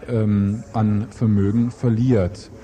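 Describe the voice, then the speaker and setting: A man's voice: lowish voice, level tone, slow, dark tone. A man presenting an informal local news interview, phrasing his questions loosely and with some hesitation.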